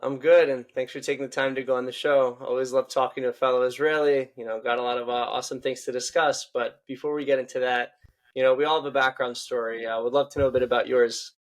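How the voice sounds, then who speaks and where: A young adult man hosting a podcast, asking relaxed questions with many fillers. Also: medium pitch, fast. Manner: friendly, filler-heavy questions. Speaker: podcast host. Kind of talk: casual interview hosting.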